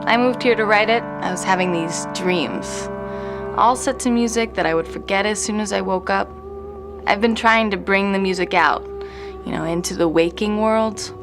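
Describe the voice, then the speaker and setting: An actress playing an intense, abrasive composer with grand ideals, sardonic and casually profane, in a naturalistic audio drama. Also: female voice, medium pitch, moderate pace, lightly acted. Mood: intense, earnest. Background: music playing in the room.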